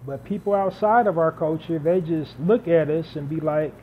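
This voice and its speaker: A man's voice: on the high side, moderate pace. A man hosting a solo podcast, talking unscripted and building points through emphatic repetition and lists. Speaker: podcast host. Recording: dull lo-fi audio with faint hiss.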